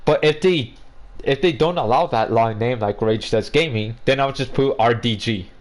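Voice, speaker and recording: A man's voice: medium pitch, normal speed. Young man rambling in casual podcast chat. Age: 20s. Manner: rambling, repeats and restarts words. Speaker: podcast co-host.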